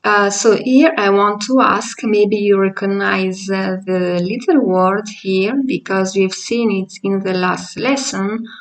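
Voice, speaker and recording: Slow, patient woman with an Italian accent. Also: medium pitch, far from the mic, poor audio. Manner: slow, patient explaining with frequent fillers. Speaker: language teacher. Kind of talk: online language lesson.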